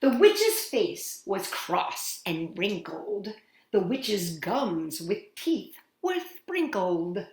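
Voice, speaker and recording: A woman reads a picture book to young children, her voice rising and falling widely as she leans into the drama of each line. She takes her time, lingering on words and pausing for effect. The room around her rings with a noticeable echo. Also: mid-range voice. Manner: expressive, sing-song storytelling with dramatic pauses. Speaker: children's storytime host. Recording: roomy echo.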